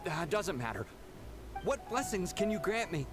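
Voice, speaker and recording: An actor playing a pushy young pilgrim who turns impatient and dismissive. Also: male voice, sits high, very animated, normal speed. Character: pushy young male pilgrim seeking blessings. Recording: game audio with music behind. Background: music.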